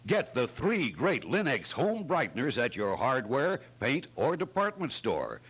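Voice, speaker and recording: A man announcing in old-time radio style, with a theatrical, emphatic sponsor-pitch delivery. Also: huge pitch swings, measured pace, fairly bright tone, husky.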